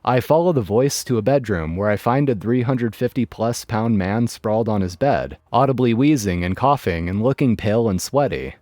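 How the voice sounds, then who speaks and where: A man narrating online stories in a lively, dry storytelling manner. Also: fairly low pitch, moderate pace, few pauses, dry recording, soft background music.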